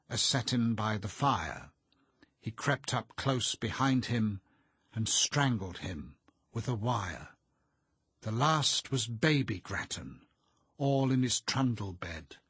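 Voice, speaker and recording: A man's voice: mid-range voice, fairly bright tone, slightly rough, dry recording, pitched above the performer's own voice. A man voicing an idle, playful, ironic young man in an audiobook.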